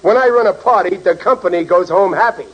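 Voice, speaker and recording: Casting: bumbling, boastful New husband, highish animated voice, old muffled radio comedy. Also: male voice, a little fast, husky. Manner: flustered stammering, boastful. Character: blustering, bumbling working-class husband and father. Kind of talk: 1940s radio comedy acting. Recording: muffled, hissy 1940s radio recording.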